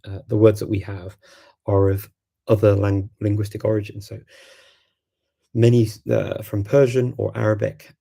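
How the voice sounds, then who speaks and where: A man's voice: fairly low pitch, vocal fry, warm tone, husky. A man whose first language is Macedonian, hosting a live stream and chatting casually in answer to viewer questions, thinking aloud with many ums and restarts.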